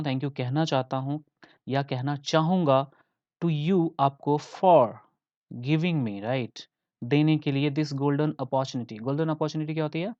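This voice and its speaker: A man's voice: medium pitch, darkish tone, no room sound, lo-fi sound. Chatty Indian man teaching English. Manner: chatty, keeps checking in with listeners. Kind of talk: spoken English lesson mixing Hindi and English.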